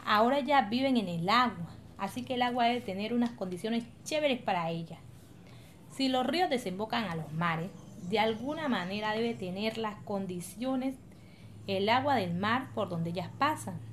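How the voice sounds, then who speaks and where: A woman's voice: on the high side, lively intonation, moderate pace, a little hiss or hum, lightly acted. A woman with a Colombian accent acting an encouraging, patient village leader in an educational radio drama, explaining things colloquially. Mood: questioning, explaining. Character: encouraging, patient woman leading her coastal village community.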